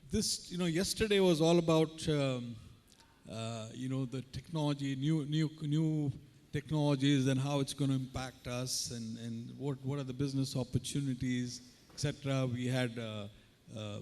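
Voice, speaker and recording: A man moderates a conference panel, introducing speakers and posing questions. He stops and restarts often, with plenty of fillers. A long hall reverb rings around his medium-pitched voice. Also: fairly even intonation, darkish tone. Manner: halting, many fillers and restarts.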